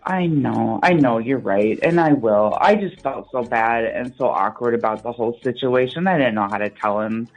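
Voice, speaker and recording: A woman's voice: a bass voice, normal speed, hardly any pauses, soft background music, poor audio. A woman calling in to a radio show, talking casually and earnestly in an informal conversation.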